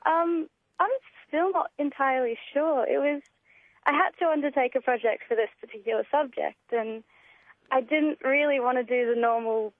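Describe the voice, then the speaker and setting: A woman's voice: high-pitched, moderate pace, dry recording. A young Australian woman chatting enthusiastically as a guest in a casual radio interview, heard over the telephone. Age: young adult. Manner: enthusiastic, gushing. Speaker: interview guest, student writer. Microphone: telephone call. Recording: tinny narrow phone line.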